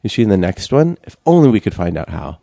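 A young man co-hosting a casual chat show, talking haltingly with many fillers and restarts. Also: sits low, very animated, creaky, warm tone, no room sound. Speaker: podcast co-host.

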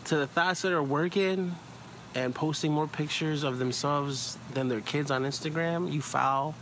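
A man's voice: a little high, a little slow, fairly bright tone, slight background noise, rough recording. A man with a Chicago accent in casual, slangy podcast chat, joking, swearing and rambling as a guest. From Chicago, USA.

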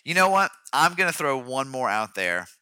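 A man's voice: medium pitch, big pitch swings, moderate pace, pushed and bright, clean tone, no echo. A man co-hosting a podcast, chatting and joking with enthusiasm. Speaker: podcast co-host. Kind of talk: casual podcast chat.

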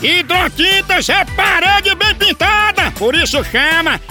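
A man's voice: very high voice, lively intonation, slow pace, full character voice. A man playing a boisterous, joking radio funnyman with a Northeastern Brazilian accent, talking in playful, exclamatory slang. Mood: hyped selling. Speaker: comedy radio show host. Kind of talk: hyped comic radio patter. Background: music bed.

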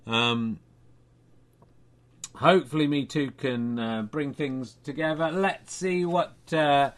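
A man hosting a comedy show, reading out made-up football scores in a dry, deadpan, rambling way. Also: on the high side, slow. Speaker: comedy podcast host. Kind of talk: mock football results reading and chat.

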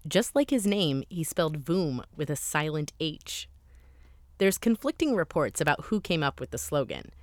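A woman's voice: low voice, big pitch swings, moderate pace, no room sound. A woman host giving scripted documentary narration in a conversational, lightly wry way.

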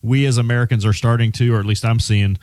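A man who is a financial advisor and hosts a radio show, speaking in a relaxed, plainspoken way with lots of "you know" and restarts. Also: mid-range voice, fast talker, dry recording. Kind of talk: conversational radio finance talk.

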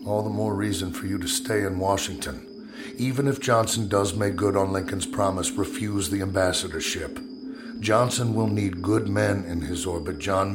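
An American actor playing a formal, authoritative, stern Secretary of War in an 1865 historical audio drama. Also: male voice, low-pitched, very noisy, close to an everyday voice. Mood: grave, persuasive.

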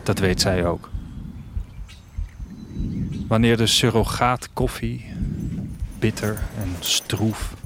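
A man playing a restless, wistful traveller, narrating his story in a reflective, measured literary audio drama style. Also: fairly low pitch, noisy background. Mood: subdued, wistful. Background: music.

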